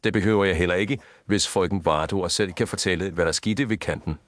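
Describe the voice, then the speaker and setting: A man playing a composed, grave detective in an audio story, speaking formally and with measured deliberation. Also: sits low, dry recording, lightly acted. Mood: grave, probing. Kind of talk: scripted audio story dialogue.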